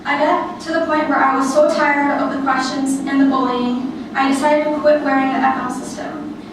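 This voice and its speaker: A young woman giving a prepared personal speech, reading carefully and deliberately with occasional stumbles and restarts. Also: high-pitched, level tone. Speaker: student giving a presentation. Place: echoey room, recorded from a distance. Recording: echoey room, distant mic, noisy background.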